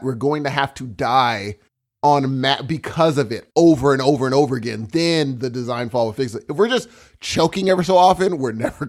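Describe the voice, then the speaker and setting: A man co-hosts a podcast in loose, casual chat. His voice sits a bit high and swings widely up and down as he rambles and jokes. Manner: animated, rambling, joking. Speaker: podcast co-host.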